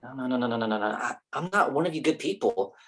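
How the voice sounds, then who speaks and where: A man's voice: medium pitch, animated, fast, a bit bright. A man playing a theatrical, flirty, self-pitying actor in his mid-thirties in a staged play reading. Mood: agitated. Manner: whiny, theatrical, pretentious.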